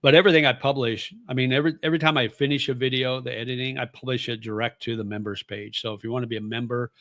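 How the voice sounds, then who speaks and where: A man's voice: mid-range voice, steady tone, fast talker, fairly bright tone, no echo, studio quality. A middle-aged man and former radio host, chatting casually on his livestream and reading viewer comments in a rambling, easygoing way with plenty of fillers.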